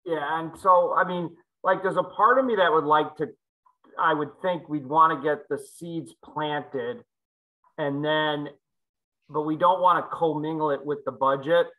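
A man on a video call weighing budget timing out loud, hesitant and full of fillers. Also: a little high, steady tone, unhurried, low-quality recording. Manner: hesitant, musing, many fillers. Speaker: committee member. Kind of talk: meeting discussion.

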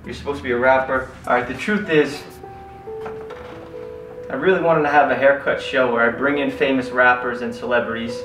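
A man's voice: mid-range voice, a little fast. Young male host, dry teasing humor, recorded off-mic in an echoey shop with music. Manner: teasing, deadpan jokes. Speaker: host and barber. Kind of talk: joking comedy banter. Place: small barbershop, indoors. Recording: echoey room from far off with music.

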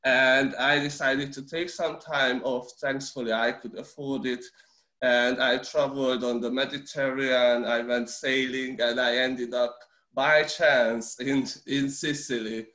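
A man in his forties with a Hungarian accent, speaking English as a guest on a video call. His speech comes in halting bursts, full of restarts and repeated words. The room sounds echoey. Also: mid-range voice, steady tone, pushed and bright. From Romania. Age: 40s. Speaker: interview guest. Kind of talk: casual video-call interview.

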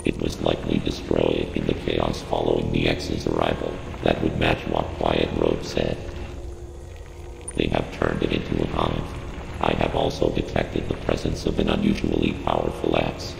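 A man's voice: very deep voice, completely flat delivery, normal speed, gravelly, full character voice. A man voicing a cold, analytical computer intelligence in a video game, giving formal, emotionless briefings in a synthetic, robot-processed voice. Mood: cold, measured. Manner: formal, clipped, emotionless, instructing. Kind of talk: scripted game mission briefing. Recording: echoing reverberant game audio, loud ambient noise.

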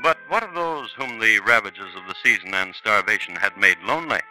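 A man hosting a vintage crime radio show, narrating with wry theatrical flair. His voice sits low and moves expressively. Music plays under the old broadcast. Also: animated, brisk, sharp tone, poor audio. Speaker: host narrator. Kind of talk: dramatic 1950s radio narration.

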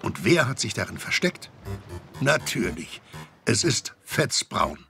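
Male narrator, low gravelly voice, children's story, suspenseful telling, music behind. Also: sing-song, slow. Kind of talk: scripted storytelling for children's audio drama.

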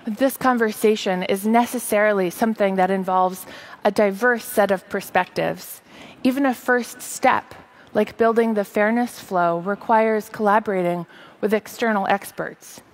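A woman in her thirties delivering a rehearsed tech keynote on a large stage, speaking in a measured, earnest and articulate way. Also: medium pitch. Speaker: tech company presenter.

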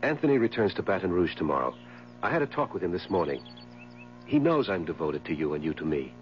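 Haunted jittery husband, old radio drama, keeps repeating himself, halting, bit of hiss, dull sound. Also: male voice, deep voice, very animated. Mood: pleading, tender. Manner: halting, repetitive, melodramatic delivery. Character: haunted, nerve-shattered husband, anxious and obsessive. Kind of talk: 1970s radio drama acting. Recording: muffled, hissy 1970s radio broadcast recording.

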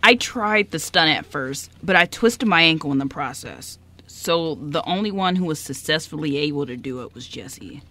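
Woman as a low-voiced nervous young guy. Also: animated, sharp tone, exaggerated voice. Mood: embarrassed. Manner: hesitant, halting. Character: nervous, easily embarrassed young male actor. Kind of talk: amateur radio play acting.

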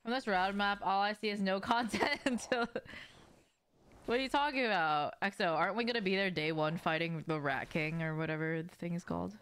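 A young woman with a smooth, slightly low voice is livestreaming from her desk. She talks casually to her viewers as she plays, in informal gamer slang with some swearing. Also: brisk, a bit bright. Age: young adult. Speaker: game streamer. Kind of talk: casual livestream chat with viewers while gaming. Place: indoors, small home room with shelves.

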